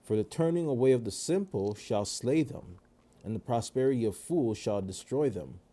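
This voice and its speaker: A man reading a scripture passage aloud at a steady, formal pace. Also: mid-range voice, moderate pace. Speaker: scripture reader.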